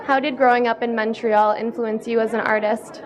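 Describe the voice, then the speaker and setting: A woman's voice: on the high side, steady tone, moderate pace. A young woman, an entertainment host, asking upbeat, scripted questions on a red carpet. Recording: crowd chatter behind. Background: crowd.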